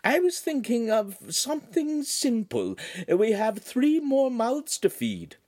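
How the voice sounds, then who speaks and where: A man doing a high sing-song lady of the house. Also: wildly swooping pitch, slow pace, a little mellow, no echo, clean studio sound, full character voice. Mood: brisk, cheerful. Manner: high sing-song lilt, cheerful and bossy. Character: fussy older female noblewoman, brisk and cheerful. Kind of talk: audiobook character voice.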